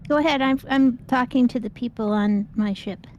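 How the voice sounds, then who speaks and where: A woman's voice: on the high side, moderate pace, darkish tone. A woman chatting casually as she co-hosts a live video game stream. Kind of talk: casual live gameplay chat. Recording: stream with faint background noise.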